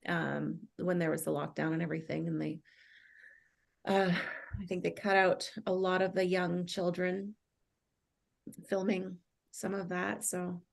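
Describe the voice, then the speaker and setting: A woman with a gravelly creak and a fairly low pitch joins a casual discussion. She speaks tentatively, working out her thoughts as she goes. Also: little pitch movement, measured pace. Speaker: discussion participant.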